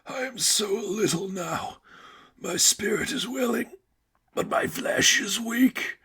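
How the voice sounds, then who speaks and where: A meek young zealot for an audiobook-style story. The voice is pitched high and badly frayed into breath, creaking and straining as it begs. Words come slowly and haltingly, full of supplication. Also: male voice, pushed and bright, full character voice. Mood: meek, pleading. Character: meek, pleading, feverishly devout young man.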